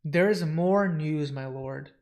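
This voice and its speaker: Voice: medium pitch, slow, darkish tone. A comic reader voicing a dutiful male librarian who serves a lord, reporting in a formal, respectful way. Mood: deferential.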